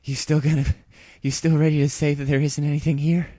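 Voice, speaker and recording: A brash young pegasus mare acted by a man talks in eager, halting bursts. The pitch is pushed high above the reader's own voice, loud and storybook-dramatic. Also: level tone, no echo, clean studio sound, natural voice. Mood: breathless, challenging. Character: brash, breathless young female pegasus pony.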